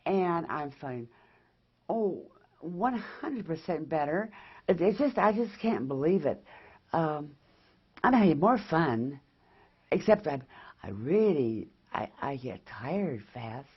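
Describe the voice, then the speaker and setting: A woman's voice: sits low, sing-song, takes it slowly, breathy edge, highs cut off. A woman giving a casual, unscripted update as a patient. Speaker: patient reporting recovery.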